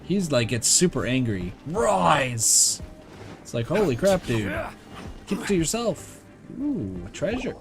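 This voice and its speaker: Animated, rambling male game streamer. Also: on the high side, a little slow. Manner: rambling, slangy, talking to himself. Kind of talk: casual live game commentary. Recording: game music behind the voice. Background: game music.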